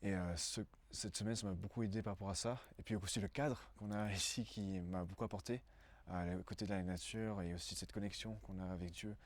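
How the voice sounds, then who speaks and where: A man's voice: deep voice, fairly even intonation, brisk, no echo. A man in his twenties from Brussels giving a casual interview testimony, hesitant and often searching for words. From Brussels, Belgium.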